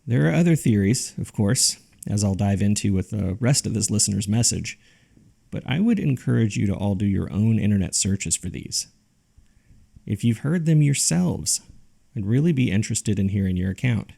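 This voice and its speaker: A man playing an earnest paranormal podcast host, narrating in a serious, measured and deliberate way. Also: lowish voice, animated, vocal fry, dark tone, exaggerated voice. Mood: uneasy, intrigued. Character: earnest male paranormal podcast host reading a listener's ominous letter. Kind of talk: scripted narration, horror fiction podcast. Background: music.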